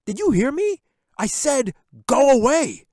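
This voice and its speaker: A man voicing a rude, pig-headed young boy in an audiobook, snapping and yelling meanly. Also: very high voice, sing-song, measured pace, no room sound, clean studio sound, pitched above the performer's own voice, exaggerated voice. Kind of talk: audiobook character reading.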